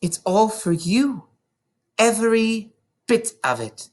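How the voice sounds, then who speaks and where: A storybook reader voicing a booming, menacing bully of a headmistress, a woman who turns falsely sweet and crafty while commanding. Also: animated, slow pace. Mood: falsely sweet, persuasive. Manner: booming, commanding, mock-gentle wheedling.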